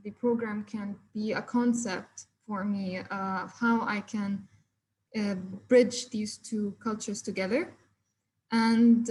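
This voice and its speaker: A woman's voice: mid-range voice, a little hiss or hum, poor audio. Hesitant young woman presenting over a call. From Egypt. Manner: hesitant, many fillers and restarts. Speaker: furniture designer presenting her work. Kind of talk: online presentation with shared screen. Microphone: video call.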